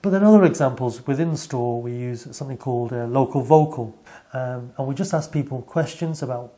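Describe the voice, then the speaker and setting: Shop owner, 30s, mellow warm voice, even explanatory delivery, camera mic. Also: male voice, medium pitch, a little fast. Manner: calm, explanatory, with many fillers. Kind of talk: on-site interview. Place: shop and community hall, indoors.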